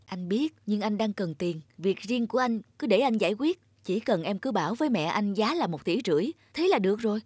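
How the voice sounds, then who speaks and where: A woman's voice: medium pitch, lively intonation, brisk, few pauses, a little mellow, husky, dry recording. Woman acting as scheming, persuasive young man. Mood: low, conspiratorial. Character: scheming young adult son deceiving his mother. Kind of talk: cartoon dubbing.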